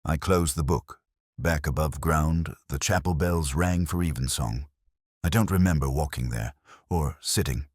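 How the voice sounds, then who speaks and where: A man playing a brooding, world-weary police detective, narrating a case in solemn, literary first person with a husky, measured delivery. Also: a bass voice, darkish tone, no echo, studio quality, heavily acted. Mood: melancholy, resigned.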